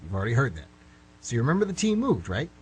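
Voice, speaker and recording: Man acting a flustered, husky, fast-talking rambler. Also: medium pitch, full character voice, poor audio. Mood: rambling, exasperated. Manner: nervous, halting, self-correcting, excitable. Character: flustered, rambling middle-aged man caught by police. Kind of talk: amateur radio drama acting. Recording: slightly rough amateur radio recording.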